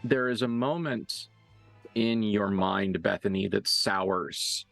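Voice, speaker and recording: Male game master, lower register, loose and casual improvised storytelling, close dry recording with faint music underneath. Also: slow, gravelly, a bit bright, no echo. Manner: casual, mildly profane. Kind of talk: improvised narration of a tabletop roleplaying game.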